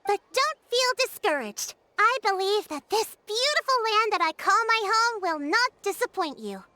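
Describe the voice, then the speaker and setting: A woman playing a courteous, earnest woman in a video game, speaking politely and formally with gentle encouragement. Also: very high voice, very animated, normal speed, no room sound, full character voice. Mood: encouraging.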